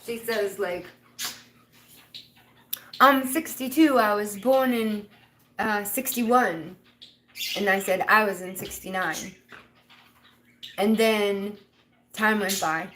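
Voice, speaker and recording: A woman's voice: medium pitch, takes it slowly, pauses often, sharp tone, slight background noise, low-quality recording. A woman in her fifties chatting casually on a livestream, rambling and laughing often. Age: 50s. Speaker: livestream host.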